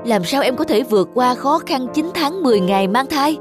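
Protective young husband, animated drama, female performer, agitated delivery with music underneath. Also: high-pitched, fairly quick. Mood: anxious, exasperated. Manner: agitated, exclaiming.

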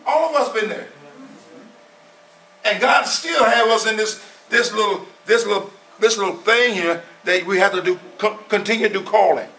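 Man, 70s, preacher, high voice, repetitive, emphatic, far-off, echoey room. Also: normal speed, pushed and bright. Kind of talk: informal sermon. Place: small church hall. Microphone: far camera.

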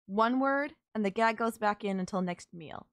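A woman's voice: mid-range voice, moderate pace, no echo, studio quality, natural voice. A woman playing a sultry, possessive kidnapper who gloats over and coaxes a captive in an intimate audio roleplay. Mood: coaxing, controlling. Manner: teasing, coaxing, controlling.

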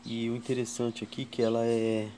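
A man from Brazil hosting a casual tool vlog at his workshop bench, slowly counting wrench sizes and chatting to viewers. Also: mid-range voice, monotone, background noise. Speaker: tool channel host. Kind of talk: casual show-and-tell vlog.

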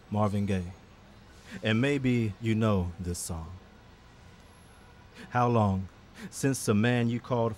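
A man reciting his poetry at an outdoor event in weighted phrases with dramatic pauses. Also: medium pitch, a little mellow. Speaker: poet. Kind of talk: poetry reading. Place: outdoor park reading event. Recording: live outdoor event with background noise.

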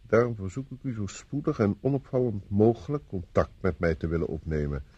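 An actor playing a self-assured police inspector in a radio play, with a commanding, probing, slightly condescending manner. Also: male voice, lowish voice, fairly quick, dark tone, breathy edge, no echo, muffled recording. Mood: reading aloud. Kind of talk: Dutch radio play acting.

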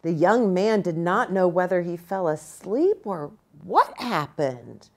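American woman, slow animated storybook reader. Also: fairly low pitch. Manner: animated, deliberate, pausing within phrases. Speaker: pastor reading to children. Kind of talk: expressive children's storybook read-aloud. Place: small indoor room with bookshelf and armchair.

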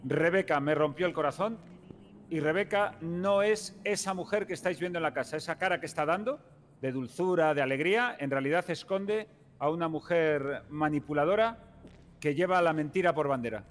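A man's voice: on the high side, a little fast, big-room sound, close to an everyday voice. A man playing a pompous, melodramatic nightclub manager who swears, in improvised online roleplay. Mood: solemn, wounded. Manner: theatrical, swearing. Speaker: roleplay gamer.

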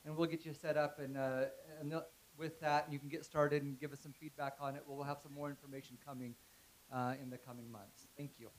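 A man's voice: on the high side, flat delivery, a little fast. A man making a brief informal announcement to a room. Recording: hissy, noisy room recording.

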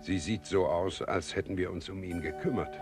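An actor playing a cool, calculating expedition member in a radio play. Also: male voice, low-pitched, fairly even intonation, normal speed. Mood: cool, ironic. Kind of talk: radio drama acting. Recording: radio play with music underneath.

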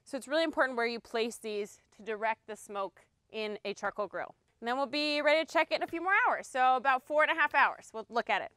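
A woman's voice: fairly high pitch, fast talker, hardly any pauses, sharp tone, smooth, no echo, clean studio sound. A woman in her thirties giving a casual, chatty cooking demonstration on an outdoor deck, explaining as she works. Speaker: cooking instructor. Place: outdoor deck beside a grill.

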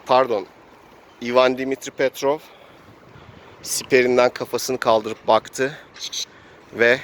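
A man's voice: medium pitch, steady tone, slow, fairly bright tone. A man narrates an improvised role-playing game outdoors as host and game master, telling it casually with spoken sound effects. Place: outdoors in an urban area. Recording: outdoor urban noise, passing vehicles.

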